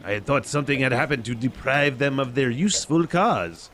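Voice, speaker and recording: A man's voice: medium pitch, expressive, fairly quick, fairly bright tone, a little hiss or hum, natural voice. A man playing a miserly, irritable businessman in an audio drama, curt and scornful of Christmas. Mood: cold, scornful.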